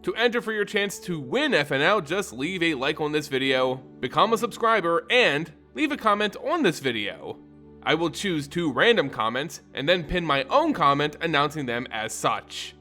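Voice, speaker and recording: A man's voice: on the high side, big pitch swings, normal speed, sharp tone, soft background music. A man hosting a fantasy lore channel, delivering scripted narration and commentary.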